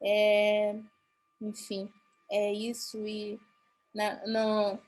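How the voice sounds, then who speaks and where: A woman from Mato Grosso do Sul, Brazil, with a Brazilian Portuguese accent and Guarani as her first language, giving a formal conference talk. She speaks earnestly and haltingly, often restarting her phrases. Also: medium pitch, monotone, a little mellow.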